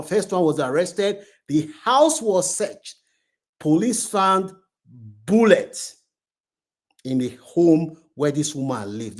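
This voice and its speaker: A male channel host with a voice pitched a little above average. He delivers moralising story commentary straight to his viewers. His phrasing is emphatic, often repeating itself to drive a point home. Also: a little mellow.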